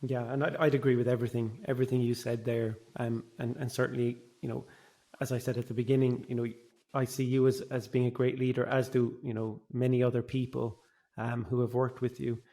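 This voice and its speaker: A man's voice: medium pitch, level tone, a little fast, mellow. A man hosting a podcast, chatting casually and agreeably with a guest, often repeating words as he starts again. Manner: agreeable, stumbling restarts. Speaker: podcast host. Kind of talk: casual interview chat.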